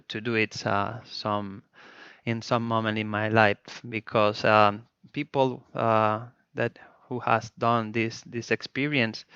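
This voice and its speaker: A middle-aged man speaks English with a Spanish accent, his voice low, gravelly and crisp. He pauses often and restarts phrases as he searches for words. The recording is dry and slightly dull. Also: fairly bright tone, no echo. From Spain. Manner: hesitant, many fillers and restarts in a second language. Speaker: guest. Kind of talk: casual interview chat.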